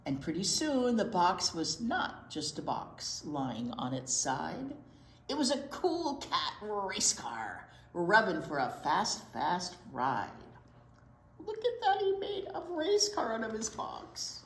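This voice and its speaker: A woman's voice: mid-range voice, wildly swooping pitch, slow pace, fairly bright tone. A woman reading a picture book aloud to young children as a storytime teacher, expressively drawing out key words and asking little questions. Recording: roomy, echoey indoor sound.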